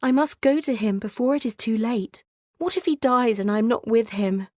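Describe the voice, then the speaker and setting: A woman's voice: on the high side, normal speed, darkish tone, no room sound, pitched above the performer's own voice, lightly acted. A tender young storybook heroine, played by a woman narrator. She is an earnest, emotional and devoted daughter, heard close and dry. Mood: worried.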